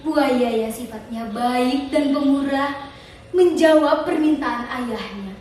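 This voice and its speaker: A woman's voice: sits high, takes it slowly, darkish tone. A teenage young woman with a Central Kalimantan Indonesian accent, telling a folktale theatrically in a dramatic, declamatory contest style. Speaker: storytelling contestant. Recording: distant echoey room with faint noise.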